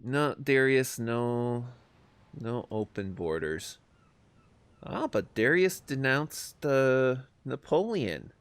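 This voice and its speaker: A man's voice: mid-range voice, sing-song, slow, clear, resonant tone, dry recording. A man streaming a strategy game, chatting casually with viewers, hesitant and self-deprecating, with many fillers. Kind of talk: casual live-stream commentary. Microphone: desk microphone, no camera.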